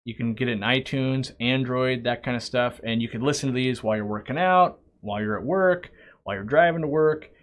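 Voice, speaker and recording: A man's voice: medium pitch, fairly quick. A middle-aged man with an ordinary mid-range voice talks off the cuff on a live stream. He wanders from topic to topic and restarts sentences often. His manner is loose and friendly, not scripted. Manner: rambling and chatty, with frequent restarts and asides; reads viewer questions aloud, then answers them. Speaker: aquarium shop owner hosting a live stream. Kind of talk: casual live-stream Q&A chat.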